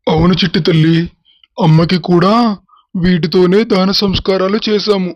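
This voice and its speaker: A man playing a gentle, sorrowful village father who explains things tenderly and patiently, in an animated moral story. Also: sits high, normal speed, lo-fi sound, a little hiss or hum, close to an everyday voice. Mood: patient explaining. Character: gentle, sorrowful, doting village father and cremation-ground keeper.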